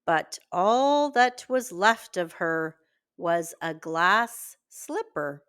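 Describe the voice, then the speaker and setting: A woman reading a scripted story aloud for young children in a deliberate, expressive way. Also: lowish voice, clean tone. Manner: slow, deliberate, expressive delivery. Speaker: children's story reader and teacher.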